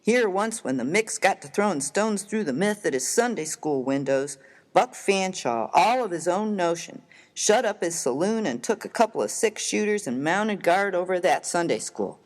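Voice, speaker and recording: A man playing a rough, hearty, good-natured American miner of the Old West in a dramatised story reading, with slangy, folksy, emphatic speech. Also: sits high, animated, moderate pace. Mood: admiring, boastful.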